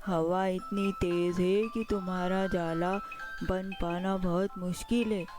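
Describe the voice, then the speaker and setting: A man's voice: high-pitched, steady tone, slow, music in the background. A man playing a humbled, defeated king in a children's cartoon, speaking in earnest, declamatory monologue. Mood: discouraging, puzzled. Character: defeated king, despondent then humbled and resolved.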